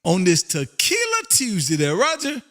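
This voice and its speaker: An exuberant, folksy American man with a gravelly voice, chatting casually on air as a ham radio host and repeating his catchphrases. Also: high voice, wildly swooping pitch, moderate pace, noisy background. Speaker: amateur radio operator and livestream host.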